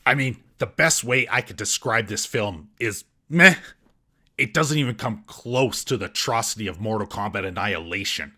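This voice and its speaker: Male review host, lowish husky voice with a bright, punchy edge, sarcastic scripted comedy narration. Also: moderate pace. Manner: sarcastic, mocking.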